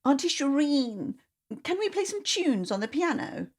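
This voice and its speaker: A woman's voice: high voice, sing-song, a little slow, pitched above the performer's own voice. A woman voicing an upset little girl who pleads in a childlike way in a storybook reading. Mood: pleading.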